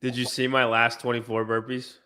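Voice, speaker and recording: A man's voice: mid-range voice, completely flat delivery, a little fast, pushed and bright, clear, resonant tone. A man hosting a casual podcast chat, slightly nasal and congested from a cold, teasing and coaching his friends. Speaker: podcast host.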